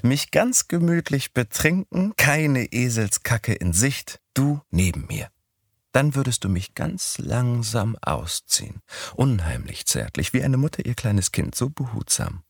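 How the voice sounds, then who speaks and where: A man voicing a bold, whimsical young woman in an audiobook, with a raised, lively, teasing lilt. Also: medium pitch, unhurried, vocal fry, breathy edge, dry recording, studio quality, voice pushed up, heavily acted. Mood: dreamy. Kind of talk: audiobook character voice.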